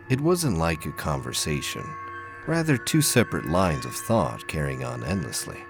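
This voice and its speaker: A man narrating a sci-fi audio play in an intense, suspenseful, scripted dramatic style. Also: very deep voice.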